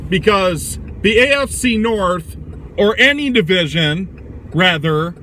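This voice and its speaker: A man with a loud voice giving a casual, rambling sports rant while driving, blunt and opinionated, swearing now and then. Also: sits high, expressive, slow. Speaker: vlogger giving football commentary. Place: inside a moving car. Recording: clear vehicle and road noise.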